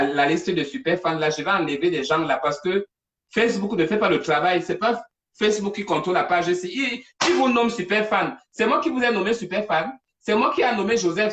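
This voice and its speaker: A woman hosting a casual live stream, talking in an animated, digressive, chatty way. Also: medium pitch. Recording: distant from the mic.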